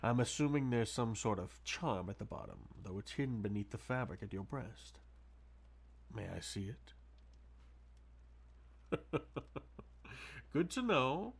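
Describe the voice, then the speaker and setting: A man playing a sadistic, possessive vampire lord in an ASMR roleplay, suave and mock-polite, with a breathy, purring, theatrical delivery. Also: mid-range voice, full character voice. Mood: curious, teasing. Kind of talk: ASMR audio roleplay, intimate dramatic monologue.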